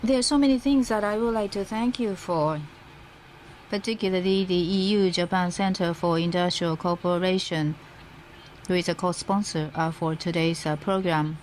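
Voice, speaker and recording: A woman working as a simultaneous interpreter, rendering a formal speech live in halting phrases. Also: fairly low pitch, fairly even intonation, a little mellow. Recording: interpreter feed with faint background noise.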